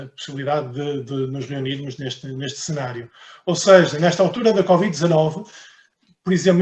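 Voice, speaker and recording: A man's voice: a little high, a little mellow, echoey room, lo-fi sound. A man from Portugal with a European Portuguese accent, giving a conference talk and answering questions, often repeating words as he thinks. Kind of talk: conference presentation and Q&A.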